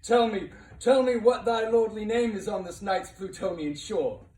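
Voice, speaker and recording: A man giving a dramatic poetry reading as narrator, theatrical in manner, swelling from hushed to impassioned delivery. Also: high-pitched, normal speed. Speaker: narrator reading a poem aloud. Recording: roomy, distant mic with faint noise.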